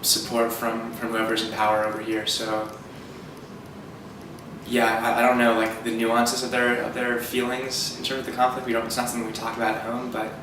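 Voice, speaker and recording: A young man answers interview questions hesitantly, restarting phrases and sprinkling in "like". His delivery stays almost completely flat in pitch. He sounds distant from the mic in a very reverberant room with clear background noise. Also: moderate pace. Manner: hesitant, repeats words, many "like" fillers.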